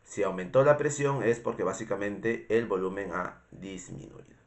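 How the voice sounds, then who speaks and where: A man's voice: fairly low pitch, moderate pace. A man with a Peruvian Spanish accent and a congested voice, giving an informal, didactic medical lecture with frequent repetition and checking questions. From Peru. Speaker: medical instructor.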